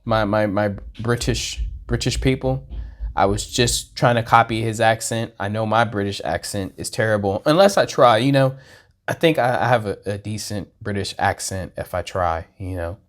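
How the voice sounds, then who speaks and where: A man hosting a casual reaction video, rambling in a halting way and often repeating his words as he talks to himself. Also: lowish voice.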